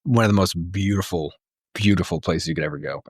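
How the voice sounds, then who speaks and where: Male co-host, slightly deep voice, explanatory yet casual conversation, dry close recording. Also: fast talker, breathy edge, dry recording. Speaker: podcast co-host. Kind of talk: casual chat. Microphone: close mic on a group remote call.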